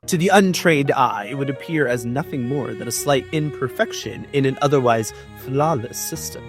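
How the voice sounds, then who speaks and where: A man hosting a comedic dramatic book reading, reading in a mock-solemn theatrical way with dry, sarcastic asides. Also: medium pitch. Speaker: host, writing coach.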